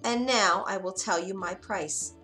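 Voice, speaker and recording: A woman's voice: medium pitch, unhurried, pushed and bright, faint music behind, poor audio. A woman voicing a grasping little male imp who bargains slyly and smugly. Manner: smug, sly bargaining.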